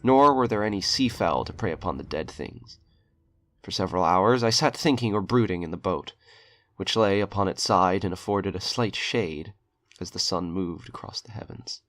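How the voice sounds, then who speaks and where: A man reading a horror short story aloud in a slow, grave, brooding way. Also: low voice, a bit bright, no room sound. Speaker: narrator.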